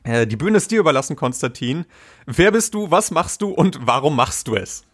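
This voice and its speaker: A man's voice: on the high side, very animated, normal speed, slightly rough. A young man hosting a podcast interview in a casual, chatty, joking way, with frequent filler sounds. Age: young adult.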